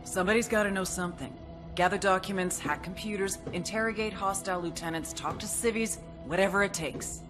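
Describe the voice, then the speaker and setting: A woman's voice: mid-range voice, a little fast, lightly acted. An actress as a hard-edged female agent. She speaks in a terse, driven, commanding way, as in a game's mission briefing. Music plays behind her. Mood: determined, angry. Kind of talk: video game dialogue and dramatic briefing narration.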